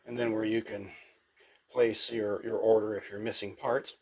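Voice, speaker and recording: A man's voice: lowish voice, level tone, moderate pace, darkish tone. A middle-aged man with a stuffy, congested voice, casually showing his collection and rambling with fillers and restarts. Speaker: toy collector presenting. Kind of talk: casual show-and-tell.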